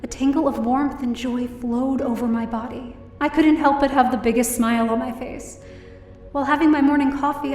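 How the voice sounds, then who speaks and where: A woman's voice: high-pitched, a little mellow, lightly acted. A woman playing a hopeful, down-on-her-luck heroine who tells her own story earnestly and expressively in an audio drama. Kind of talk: first-person audio drama narration and dialogue. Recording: roomy echo, distant mic, music behind.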